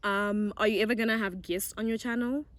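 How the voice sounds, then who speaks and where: A woman's voice: medium pitch, fairly even intonation, brisk, a bit bright, no room sound. A young woman with a Zimbabwean accent, chatting casually and answering viewer questions, bubbly and giggly. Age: young adult. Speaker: YouTuber. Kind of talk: casual get-to-know-me chat.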